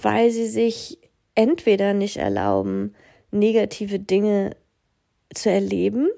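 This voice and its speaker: Woman, 30s, podcast host with a cold: fry-laden, hoarse, reflective, phone recording. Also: mid-range voice, warm tone. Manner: thoughtful, at times breathy and whispery. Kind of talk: casual reflective podcast monologue.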